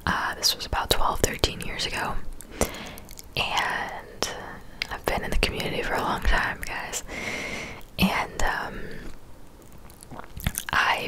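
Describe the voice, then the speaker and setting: A woman in her twenties speaking in a soft, breathy whisper, chatting casually and unhurriedly as an ASMR creator answering viewers. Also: low-quality recording. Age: 20s.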